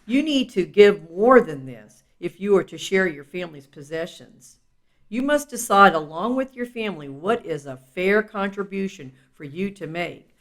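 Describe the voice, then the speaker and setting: A woman reading a children's advice book as scripted narration, speaking instructively and directly to the child listener. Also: on the low side. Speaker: audiobook reader.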